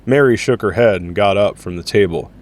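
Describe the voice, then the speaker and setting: Low-pitched male story narrator. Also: brisk, a little mellow.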